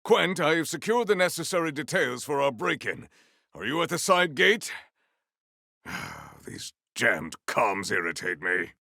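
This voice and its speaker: A man playing a proud, stern warrior in a video game, speaking formally and theatrically in grandiose declarations with a gravelly edge. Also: fairly high pitch, sing-song, measured pace, sharp tone, dry recording, clean studio sound, full character voice. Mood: irritated, urgent. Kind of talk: video game character voice lines.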